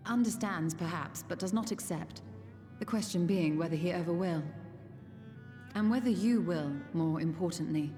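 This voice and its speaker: An actress playing a composed, forthright sorceress in a fantasy game, speaking in measured, formal, archaic phrases. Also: female voice, on the low side, warm tone, close to an everyday voice. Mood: firm, measured. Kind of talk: scripted fantasy game dialogue. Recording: long reverb, game music behind.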